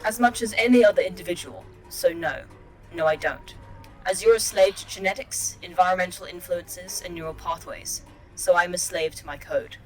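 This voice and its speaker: A woman playing a formal, polite artificial intelligence in an audio drama, measured and precise. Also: lowish voice, faint music behind, natural voice, poor audio. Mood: coolly assured. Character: calm, formal, superior artificial intelligence computer. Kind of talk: audio fiction podcast acting.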